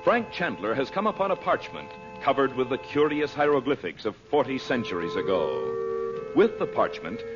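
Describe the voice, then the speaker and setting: An American man announcing in a formal, emphatic, scripted old-time radio style with dramatic delivery. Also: mid-range voice. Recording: muffled old radio broadcast recording. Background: music.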